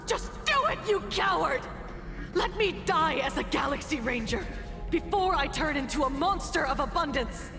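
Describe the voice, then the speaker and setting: A high-pitched, desperate space ranger. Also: female voice, expressive, moderate pace. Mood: desperate, defiant. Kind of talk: dramatic game dubbing. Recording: loud music behind the voice.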